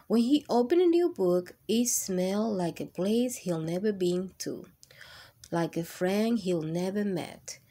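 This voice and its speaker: A woman hosts storytime for children, reading a picture book aloud in a mid-pitched voice. She takes it very slowly and expressively, turning to her young listeners with questions. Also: lively intonation, mellow.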